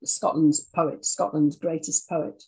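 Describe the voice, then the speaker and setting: A woman's voice: deep voice, flat delivery, takes it slowly, a little mellow, breathy edge, poor audio. A woman answering interview questions in a conversational way, as a guest writer. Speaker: interview guest, audio dramatist.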